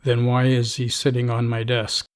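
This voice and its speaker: An actor playing a stern middle-aged vault overseer who talks in a dry, dismissive, rule-bound way. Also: male voice, mid-range voice, completely flat delivery, moderate pace, smooth, natural voice. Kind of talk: audio drama acting.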